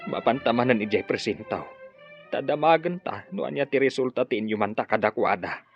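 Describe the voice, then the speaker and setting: A man's voice: medium pitch, expressive, brisk, dull audio, over music, close to an everyday voice. An actor playing an anxious middle-aged father with a fretful manner in an audio drama.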